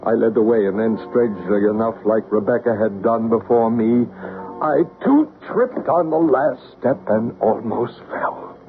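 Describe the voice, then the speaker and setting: A solemn seance leader. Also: male voice, fairly low pitch. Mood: narrating. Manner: solemn, measured, hushed. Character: calm man leading a seance, narrating. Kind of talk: 1970s radio drama acting. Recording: tinny 1970s radio broadcast with faint music.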